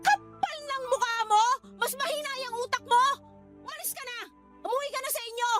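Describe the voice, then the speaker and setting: A woman's voice: extremely high pitch, fairly quick, over music. A woman acting as an indignant, hurt young girlfriend who argues back sarcastically in a radio drama. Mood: furious. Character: exasperated, hurt young girlfriend standing her ground.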